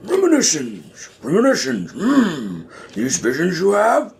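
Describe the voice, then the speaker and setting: A man voicing a wise old Jedi master who ponders slowly in backwards word order, in a parody audio play. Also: on the high side, huge pitch swings, slight background noise, pitched above the performer's own voice, exaggerated voice. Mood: pondering. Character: wise old male Jedi master speaking in inverted riddles.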